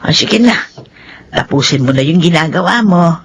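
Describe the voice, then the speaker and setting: Meek old mother in radio drama: lowish voice, stammering, fretful, swooping intonation. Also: lively intonation, normal speed, a little hiss or hum. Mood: flustered, meek. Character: timid, self-effacing elderly mother and grandmother, aged seventy. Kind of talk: emotional radio drama acting.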